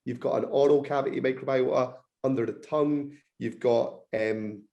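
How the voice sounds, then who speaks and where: A male researcher in his thirties speaks as a podcast guest, his voice croaky and a little hoarse with a gravelly edge. He explains technical ideas with real enthusiasm. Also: medium pitch, steady tone, normal speed, warm tone, highs cut off. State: croaky, slightly hoarse throat.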